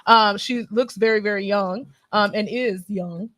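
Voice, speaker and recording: Lady hosting a chat show, casual banter, normal pitch, dry close sound. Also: steady tone, measured pace, a bit bright, dry recording. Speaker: talk show host.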